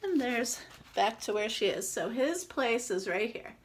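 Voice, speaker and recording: A woman reading a picture book aloud to children, expressive, with casual chatty asides to explain it. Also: fairly high pitch, measured pace, slight background noise. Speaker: children's read-aloud presenter.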